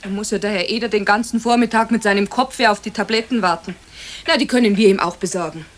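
A woman's voice: mid-range voice, quick pace, lightly acted. An actress playing a practical, caring mother with a Bavarian accent in a children's radio play. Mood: concerned, practical. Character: brisk, caring housewife and mother. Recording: faintly hissy old radio recording.

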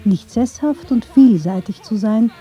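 A woman's voice: fairly high pitch, unhurried, dark tone, highs cut off, soft background music. A woman reading scripted narration for a radio documentary.

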